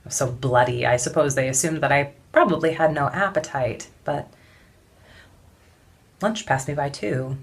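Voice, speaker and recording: An actress playing a weary, defiant suffragette in an intense, deliberate theatrical monologue with heavy pauses. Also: female voice, sits low, creaky. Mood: haunted, bitter. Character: weary, defiant suffragette woman recalling hunger and beatings.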